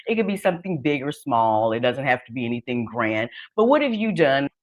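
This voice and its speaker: A woman's voice: a bass voice, big pitch swings, fairly quick, dull audio. Casual middle-aged woman hosting a chat. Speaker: host of an online interview show. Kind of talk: casual conversational interview.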